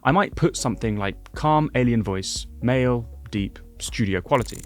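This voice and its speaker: A man giving clear, brisk instructions as a software tutorial host. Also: mid-range voice, expressive, soft background music. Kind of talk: scripted tutorial narration.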